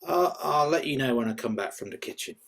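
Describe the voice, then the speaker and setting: A man's voice: medium pitch, animated, fairly quick, gravelly, fairly bright tone. A man playing a grumpy, world-weary hotel worker in his thirties, offhand and laconic, in a script reading. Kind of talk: script table-read acting.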